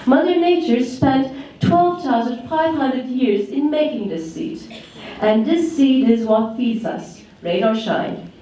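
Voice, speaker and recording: A woman from Turkey with a Turkish accent giving a slow, incantatory speech at a conference. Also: sits high, lively intonation, dark tone, highs cut off. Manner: slow, repetitive incantatory delivery. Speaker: conference speaker. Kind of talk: poetic speech at a congress. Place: large conference hall. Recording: echoey hall, speaker far from mic.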